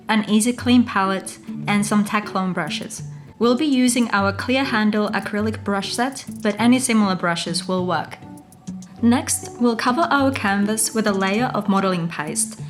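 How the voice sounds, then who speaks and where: A woman's voice: medium pitch, moderate pace. A woman narrating a scripted craft tutorial as its host, giving step-by-step instructions. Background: music.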